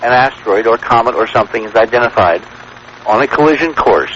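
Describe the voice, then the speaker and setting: A man talking as a radio show guest, telling things in an emphatic, wordy way with halting repetitions. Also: mid-range voice, lively intonation, a bit bright. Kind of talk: conversational storytelling on talk radio. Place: radio broadcast. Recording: dull, noisy vintage radio broadcast recording.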